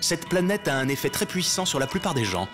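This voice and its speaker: A smug TV hero. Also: male voice, a little high, big pitch swings, fairly quick, music in the background.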